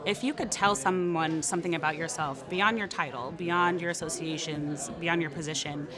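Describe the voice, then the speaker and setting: Young woman hosting an interview, lots of "like", lowish voice, noisy room. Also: normal speed. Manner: chatty, affirming, lots of "like" and "right". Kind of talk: casual interview chat. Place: bright studio room with windows, people moving behind. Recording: crowd chatter in background.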